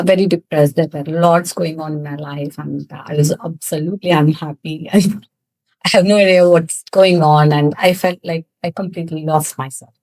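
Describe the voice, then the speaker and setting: A woman from South India whose first language is Tamil, telling her personal story as a guest in a podcast interview, candid, with frequent laughs and halting fillers. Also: on the low side, no room sound, rough recording. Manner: candid, laughs often, pauses with many fillers. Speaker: guest, cosmetics founder.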